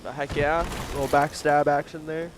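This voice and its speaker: A man with a bit of a cold, sniffling as he gives relaxed, rambling commentary over his gameplay, with lots of fillers and restarts. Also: fairly high pitch, moderate pace, background noise. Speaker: gaming commentator.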